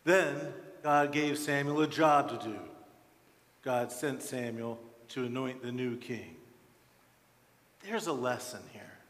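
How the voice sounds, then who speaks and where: A man in his sixties preaching a sermon as a pastor, with deliberate, emphatic phrasing and frequent pauses, in a church. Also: medium pitch, a bit bright. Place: large church sanctuary, at the pulpit. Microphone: headset microphone. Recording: reverberant church hall.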